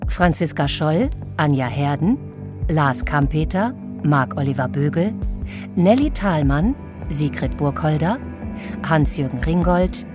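A female announcer reads scripted credits in a very deep register for a woman. Her delivery is slow, formal and neutral, over clearly audible music. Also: animated. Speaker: radio play announcer.

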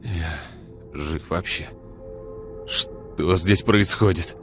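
A man's voice: low voice, very slow, over music, heavily acted. A man voicing an injured young man who speaks weakly and groans. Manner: weak and groaning, then firm.

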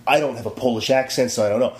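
A man's voice: medium pitch, rapid-fire. A man in casual chat, ranting with lots of energy and swearing freely. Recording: roomy echo, faint hum.

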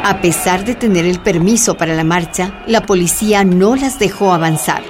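A woman narrating a radio drama in a measured, solemn way. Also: lowish voice, a crowd in the background, noisy background.

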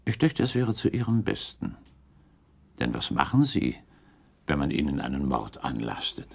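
A man acting in a German radio play as a shrewd, composed gentleman accuser, speaking formally and deliberately with insistent, probing weight. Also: very deep voice, sing-song, gravelly, husky, heavily acted.

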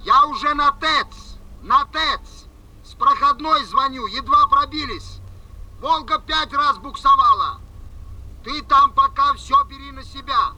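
An actor playing a brusque, commanding boss who shouts urgent, insistent orders down the phone in a radio drama. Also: male voice, very high-pitched, a little slow, exaggerated voice, low-quality recording. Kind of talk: 1970s radio drama acting.

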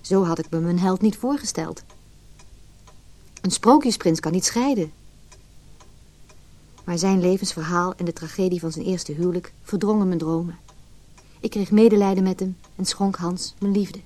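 A woman's voice: fairly low pitch, normal speed, long pauses, a little mellow, close to an everyday voice. An actress playing an anxious, wounded, introspective married woman in a Dutch radio play. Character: anxious, wounded married woman recalling her lonely childhood.

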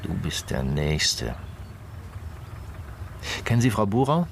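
An actor playing a dry-humoured, probing police inspector in a German radio crime drama, speaking deliberately with musing hums. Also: male voice, on the low side, big pitch swings, creaky, noisy background, heavily acted. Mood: musing, suspicious.

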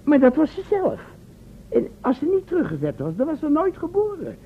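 A man's voice: very high-pitched, huge pitch swings, moderate pace, gravelly, heavily acted. An actor playing a nervous, reluctant, naive helper in a radio play, hesitant and rambling as he protests. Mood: puzzled, musing. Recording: muffled, phone-like old radio recording, faint noise.

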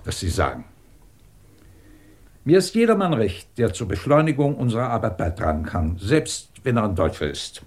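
A male actor plays an imperious, middle-aged professor in a 1950s German radio drama. His mid-pitched voice swings widely as he commands, probes and mocks. The delivery is theatrical, clipped and sardonic, that of a man used to being obeyed. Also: a little mellow, lightly acted. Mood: stern, solemn. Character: imperious, sardonic British physics professor and department chief.

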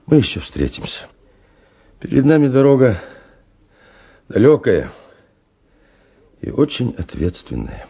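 Grave, gravelly army major. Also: male voice, mid-range voice, wildly swooping pitch, a little slow, long pauses, heavily acted. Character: grave, measured Guards major counselling his officers. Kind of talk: Soviet radio drama acting. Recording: muffled old radio drama recording.

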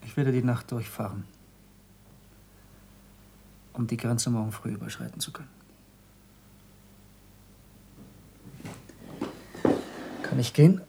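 An actor playing an earnest, intense former pupil of a scientist in a German radio play, speaking tersely and formally, with stern gravity. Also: male voice, mid-range voice, fast, gravelly, mellow, slight background noise, natural voice. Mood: stern, bitter.

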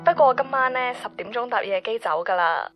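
A woman's voice: a little high, animated, fairly quick, faint music behind. An actress with a Hong Kong Cantonese accent playing a considerate former girlfriend leaving an understated, telephone-filtered voicemail in a radio drama. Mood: tentative. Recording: dull voicemail phone line.

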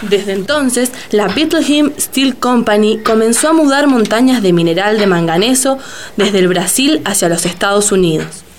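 A woman's voice: medium pitch, animated, moderate pace, slight background noise, lightly acted. A woman narrating scripted documentary radio theatre.

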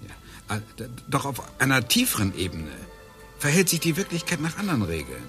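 A man's voice: medium pitch, huge pitch swings, a little fast, fairly bright tone, faint music behind. An actor playing a brooding man obsessed with physics, musing and explaining as he talks, in a radio play. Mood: thoughtful. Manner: musing, explaining, drifts into whispers. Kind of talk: radio drama acting.

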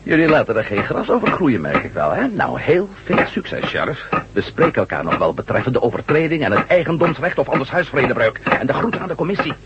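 An actor playing a headstrong, sardonic ex-astronaut turned newspaper reporter in a radio drama, with a wry, theatrical delivery. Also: male voice, medium pitch, big pitch swings, brisk. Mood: defiant, irritated. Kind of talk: radio drama acting in Dutch. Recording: muffled radio drama recording, clear hiss and hum.

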